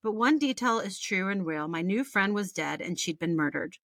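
A woman's voice: medium pitch, animated, normal speed, a bit bright, no room sound, clean studio sound. An American woman in her forties, a podcast co-host reading a personal story aloud with candid, wry, chatty asides and casual swearing. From Texas, United States. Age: 40s.

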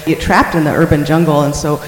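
A woman's voice: low-pitched, fairly even intonation, fast. A woman with an American accent talking earnestly and informally as a panelist in an on-stage discussion. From Oregon, United States. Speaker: panelist, artist and activist. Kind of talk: panel discussion, extemporaneous talk. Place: large reverberant hall, live panel. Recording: echoey hall with long reverb.

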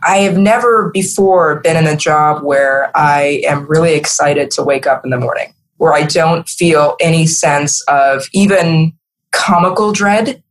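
A woman's voice: sits low, unhurried, poor audio. A woman, middle-aged, speaking earnestly and emphatically as a guest in a conversational podcast interview. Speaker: interview guest, marketing executive.

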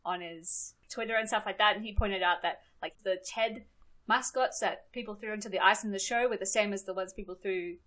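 A woman's voice: mid-range voice, a little fast, a bit bright. A woman in her thirties with an Australian accent, a guest chatting on a podcast and often laughing. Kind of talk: casual podcast chat.

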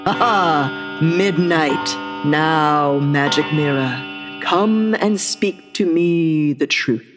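A woman's voice: low voice, drawn-out words, over music. A woman playing a vain, scornful, murderous evil queen in a comic radio play, with a theatrical, imperious delivery. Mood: commanding, scheming. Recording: long hall-like reverb.

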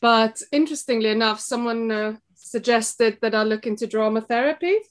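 A middle-aged woman with a South African accent, talking in a relaxed interview and reflecting on her path with frequent pauses and restarts. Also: a little high. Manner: thoughtful, halting with fillers and restarts. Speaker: guest, shop co-owner. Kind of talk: casual interview chat.

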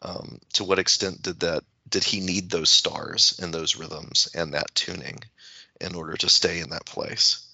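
A man with a deep, strikingly bright voice talks through literature at a slow, halting pace. He feels his way through each thought, repeating phrases as he goes, on a dry, slightly dull recording. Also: fairly even intonation, gravelly, husky, no room sound. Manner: halting, searching, thinks aloud in repeated phrases. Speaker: podcast panelist. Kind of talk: reflective literary discussion.

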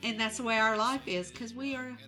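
A woman's voice: fairly high pitch, a little fast, sharp tone, faint music behind. Chatty woman in her sixties. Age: 60s. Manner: chatty, laughs often, speaks candidly. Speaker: podcast guest, entertainment company head. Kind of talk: casual interview chat.

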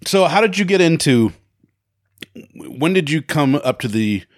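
A man's voice: a little high, very animated, unhurried, no room sound, clean studio sound. A man hosting a casual interview, asking loose, enthusiastic questions with frequent restarts. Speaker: podcast host.

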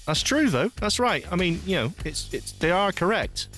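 A man co-hosting who keeps throwing in teasing one-liners. His voice is on the high side and leaps widely up and down. Faint music sits under his talk. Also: sing-song, a bit bright. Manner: teasing, quick quips. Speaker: podcast co-host. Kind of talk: casual banter.

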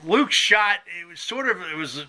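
A man hosting a conversational sports podcast interview, asking halting, rambling questions. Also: sits high, animated, bright, forceful tone. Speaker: podcast host and sports journalist.

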